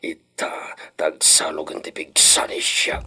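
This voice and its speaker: A man playing a proud, wealthy older hacienda master in a radio drama, husky and theatrical, sprinkling in Spanish words. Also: very deep voice, completely flat delivery, slow, bright, forceful tone, heavily acted. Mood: scheming, determined.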